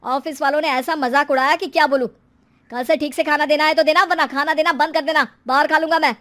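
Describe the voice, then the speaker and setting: A woman's voice: sits high, fairly even intonation, fast talker, a bit bright. A woman voicing an angry, scornful middle-aged husband and father in a moral story, scolding harshly.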